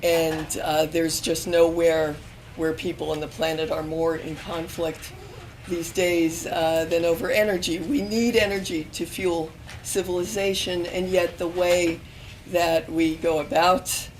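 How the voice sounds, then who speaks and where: Hoarse woman speaking haltingly on a panel. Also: on the low side, level tone, a little hiss or hum, poor audio. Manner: hesitant, many ums and restarts. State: losing her voice. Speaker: panel speaker, magazine editor. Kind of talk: alumni panel talk. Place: university event room with audience.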